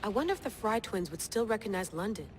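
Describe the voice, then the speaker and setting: A woman's voice: mid-range voice, expressive, fast talker, a little hiss or hum, lightly acted. Young woman game heroine, played by an actress: eager, wry, conversational, youthful mid-range voice over audible ambience. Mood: wry, friendly. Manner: casual, teasing, conversational. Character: young woman modern-day researcher, upbeat, wry, eager. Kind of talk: video game cutscene acting.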